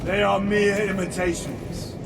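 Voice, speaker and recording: An actor playing a grave, steady space marine battle-brother in a video game, speaking in a solemn, measured way. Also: male voice, sits high, noisy background. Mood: grave, urgent. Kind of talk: video game cutscene acting.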